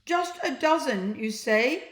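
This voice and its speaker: A woman voicing a mischievous, cheerful magical slime creature from a storybook, playful and loud. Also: fairly high pitch, animated, slow, voice pushed up. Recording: roomy echo.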